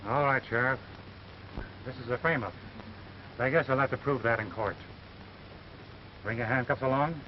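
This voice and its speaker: A man's voice: mid-range voice, moderate pace, long pauses, natural voice. An American actor playing an accused, level-headed cowboy who defiantly protests his innocence in a 1930s western film. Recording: muffled noisy 1930s film soundtrack.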